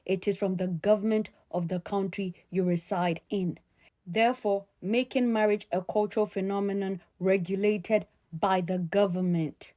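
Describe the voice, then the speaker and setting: A woman speaking straight to her audience in an opinion vlog, emphatic and admonishing, repeating key phrases deliberately. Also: medium pitch, fairly even intonation, mellow. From Ghana. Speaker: sociologist addressing followers.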